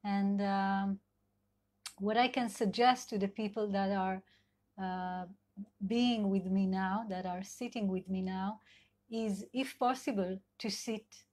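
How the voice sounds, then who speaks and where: A middle-aged woman with an Israeli accent, a sex and couple therapist whose first language is Hebrew, giving a live online talk in a gentle, searching way with many fillers. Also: medium pitch. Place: at home in Israel.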